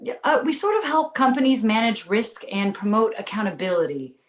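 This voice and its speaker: A woman playing a doting young mother with a soothing, reasoning manner, in an animated comedy table read. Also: a little high, a little fast. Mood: patient. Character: doting, placating young mother of a baby boy. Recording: echoey room.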